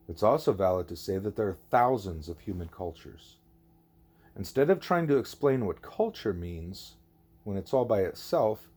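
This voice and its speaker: A man reads the part of a wise gorilla teacher in an audiobook. The voice is medium in pitch and moves widely, with a patient, didactic delivery that weights key words. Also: dark tone. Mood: patient, instructive.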